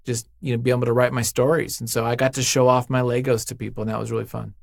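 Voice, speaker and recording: A man's voice: mid-range voice, flat delivery, quick pace, vocal fry, dry recording. A man being interviewed in a studio as a writer, chatting casually in an effusive, jokey, rambling way. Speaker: interview guest, podcast writer. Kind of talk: casual, unscripted interview chat.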